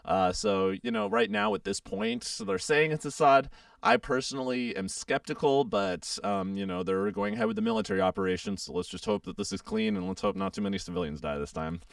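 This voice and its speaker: A young man hosts a casual radio show with a heavily gravelly, hoarse voice. He talks in a lively, up-and-down way, rambling through fillers. His voice is smooth under the rasp, recorded dry and slightly off-mic. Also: medium pitch, a little fast, no room sound, far from the mic. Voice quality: froggy, gravelly. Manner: chatty, rambling with many fillers. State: hoarse, getting over a sore throat.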